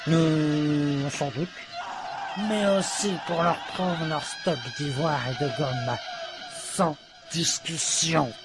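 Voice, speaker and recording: Man playing a slow, high-pitched pompous colonial explorer. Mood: pompous, boastful. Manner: pompous, declamatory, unhurried. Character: smug old-fashioned colonial explorer, casually cruel. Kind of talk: theatrical satirical audio drama acting. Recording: music behind the voice. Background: music.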